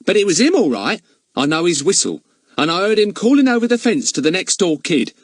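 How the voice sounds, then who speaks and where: A man's voice: sits high, big pitch swings, a little fast, no room sound, pitched above the performer's own voice. A man voicing a talkative, easily offended older female housekeeper who keeps calling her employer sir. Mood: chatty. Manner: gossipy, deferential, many a "sir". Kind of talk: audiobook character voice.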